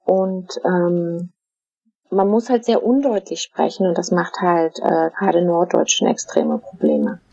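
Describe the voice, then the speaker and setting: A middle-aged woman from Germany, answering interview questions in a casual, thoughtful way, with many fillers and pauses. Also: lowish voice, very animated, mellow, slightly rough. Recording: dull, dry lo-fi recording.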